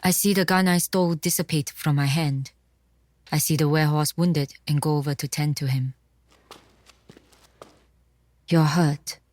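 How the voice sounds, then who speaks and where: An actress playing a tough, composed woman fighter, narrating an audio drama in the first person with measured drama. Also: sits low, fairly even intonation, a little mellow, no room sound, lightly acted. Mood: concerned, dry.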